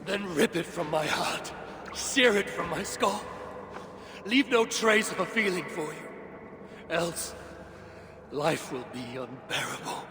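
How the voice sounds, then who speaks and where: An actor playing a grieving, stubborn old Norse god in a video game, gravelly and solemn in delivery. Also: male voice, high voice, animated, takes it slowly, long pauses, background noise. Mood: anguished. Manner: solemn, archaic, commanding. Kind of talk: dramatic video game acting.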